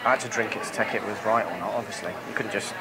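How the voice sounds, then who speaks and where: A man's voice: fairly low pitch, rapid-fire. A man in his thirties with a British accent, chatting casually as the presenter of a prank in a pub. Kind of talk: casual hidden-camera prank narration and chat. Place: busy pub. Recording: loud pub crowd and music.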